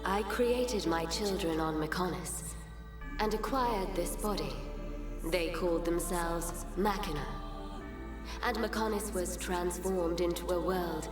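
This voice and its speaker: A woman's voice: fairly low pitch, close to an everyday voice. Woman as echoing, solemn ancient goddess. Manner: measured, formal, grave storytelling. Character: serene, solemn ancient goddess, soul of a world. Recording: echoey, distant, under prominent music.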